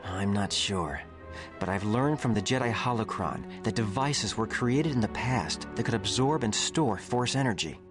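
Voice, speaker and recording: A man voicing a calm, earnest Jedi master giving formal briefings in a video game. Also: fairly low pitch, normal speed, over music, close to an everyday voice. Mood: grave.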